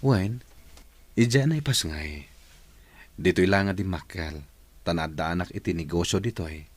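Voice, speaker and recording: A man's voice: low voice, moderate pace, dry recording. A man acting a confident husband and businessman in a radio drama. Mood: confident, coaxing. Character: confident husband, a businessman planning family matters.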